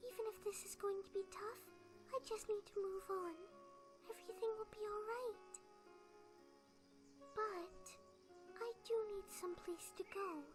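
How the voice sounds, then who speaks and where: A woman voicing a timid, gentle and anxious young female pony in an animated fan drama, soft-spoken, hesitant and apologetic. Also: very high voice, a little slow, pauses often. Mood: soft, relieved. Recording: music under the voice. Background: music.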